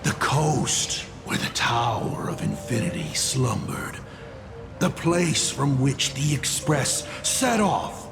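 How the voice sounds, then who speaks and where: Creaky, theatrical, taunting male game villain. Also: medium pitch, exaggerated voice. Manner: theatrical, drawling, mocking. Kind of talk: video game voice acting.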